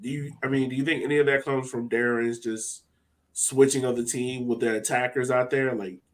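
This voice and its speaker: A man's voice: medium pitch, fairly even intonation, fairly quick. A man hosting a casual sports podcast, giving his opinions in a conversational way. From United States. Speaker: podcast host.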